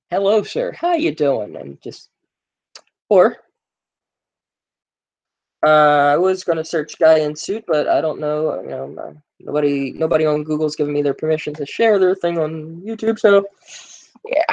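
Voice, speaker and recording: A man's voice: fairly high pitch, moderate pace, darkish tone, lo-fi sound. A man hosting a casual gaming live stream, rambling with plenty of fillers and joking with his viewers. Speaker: gaming livestream host.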